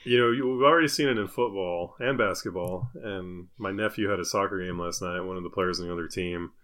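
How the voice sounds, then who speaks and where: A man's voice: low voice, brisk, a bit bright. A man, a podcast co-host, trading casual comedic banter in a teasing, wisecracking way.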